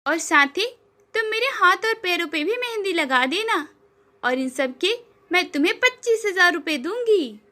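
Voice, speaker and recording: Woman acting a high-pitched dramatic young city lady. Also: animated, normal speed, sharp tone, heavily acted. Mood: friendly. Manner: gushing, drops English words.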